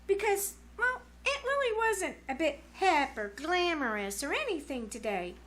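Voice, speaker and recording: A woman's voice: very high voice, sing-song, fairly bright tone, exaggerated voice. A woman playing a dramatic, gushing teenage girl in a 1940s-style radio comedy, with exaggerated exclamations. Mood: puzzled, dramatic. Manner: breathless, exaggerated, drawn-out exclamations. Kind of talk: 1940s radio comedy acting before a live audience.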